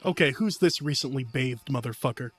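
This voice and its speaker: A man's voice: mid-range voice, brisk, fairly bright tone, husky. A man playing a tabletop role-playing game, casually describing his character and the scene. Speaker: player.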